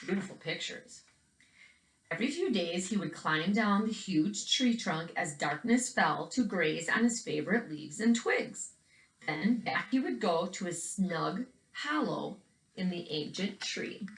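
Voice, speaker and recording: Woman reading a kids picture book out loud, lowish voice, chatty asides, echoey room. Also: expressive, slight background noise. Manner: pauses to comment on pictures as to young children. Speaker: read-aloud storyteller. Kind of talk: expressive children's picture book read-aloud with asides. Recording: roomy, echoing indoor recording.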